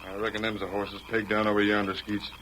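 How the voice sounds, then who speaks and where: An actor playing a scheming, vengeful settler in an old radio western, with a hard folksy western drawl and mocking manner. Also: male voice, fairly low pitch, level tone, fast, fairly bright tone, natural voice. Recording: noisy vintage radio recording.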